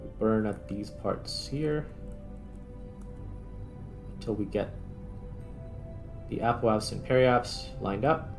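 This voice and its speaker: A man with a fairly low voice and a noticeable creak gives casual commentary over a video game. He thinks out loud in short, informal bursts as he works through each step, with music playing behind him. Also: slow pace, pauses often. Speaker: gaming video host.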